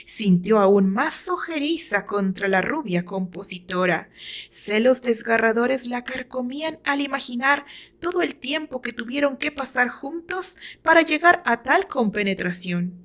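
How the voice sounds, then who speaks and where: A woman's voice: on the high side, expressive, measured pace, fairly bright tone. A woman with a Mexican accent reading her own fiction aloud as dramatic scripted narration.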